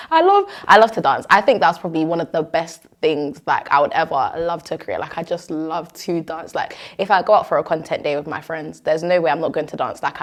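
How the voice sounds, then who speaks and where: A young woman with a British accent and a notably low voice. She talks in a casual, expressive way, addressing her listeners directly like a host opening up in an informal interview. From Nigerian-born, moved to Britain at three. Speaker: show host and content creator. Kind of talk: casual chat answering interview questions.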